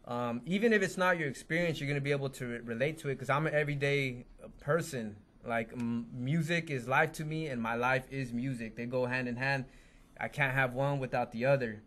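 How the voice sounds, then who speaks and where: A man's voice: medium pitch, fairly quick, fairly bright tone. Rapper guy on a podcast, spanish is his first language, earnest and sincere, restarts a lot. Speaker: rapper guest. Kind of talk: casual interview chat.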